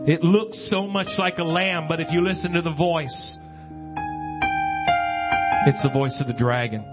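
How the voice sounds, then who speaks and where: A man preaching an evangelical sermon, exhorting earnestly with drawn-out emphatic phrases and repetition. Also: on the high side. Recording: dull lo-fi audio under loud guitar music. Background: loud plucked guitar music.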